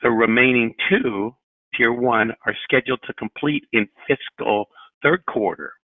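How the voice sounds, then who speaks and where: A middle-aged man speaking as a company chief executive, giving a formal, measured earnings presentation in deliberate phrases. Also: mid-range voice, no echo. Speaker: company president and CEO. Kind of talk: prepared corporate earnings presentation. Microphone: telephone on a conference call. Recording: narrowband tinny phone line.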